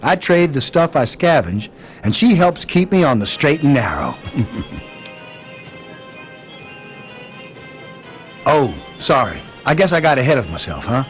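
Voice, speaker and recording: A man's voice: medium pitch, sing-song, normal speed, faint music behind, close to an everyday voice. An actor playing a folksy wasteland trader and protective father figure in a video game, with an American accent and a drawling manner. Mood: hearty, welcoming.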